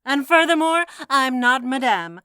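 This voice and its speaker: Woman playing bright, feisty American miss. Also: high-pitched, sing-song, clean tone, no echo, studio quality, exaggerated voice. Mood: indignant. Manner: brisk, tart, defensive. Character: feisty, indignant, unflappable American single woman. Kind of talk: old-time radio mystery acting.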